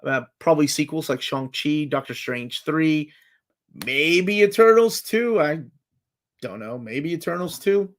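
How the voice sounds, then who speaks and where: A man in his forties speaks in a slightly high voice. He hosts a live chat in a loose, casual way. He jumps from one comment to the next with a fan's excitement. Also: measured pace. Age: 40s. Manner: excitable fan, jumps between comments and guesses.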